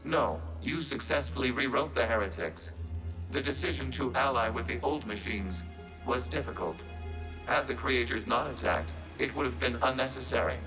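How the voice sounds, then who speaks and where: An actor voicing a calm synthetic robot in a video game, formal and measured, speaking of itself as a collective. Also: male voice, medium pitch, faint music behind, natural voice, poor audio. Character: calm male-voiced synthetic Geth robot, formal and logical.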